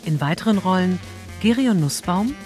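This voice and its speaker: Radio drama credits announcer: animated intonation, scripted read over faint guitar music. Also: mid-range voice, moderate pace.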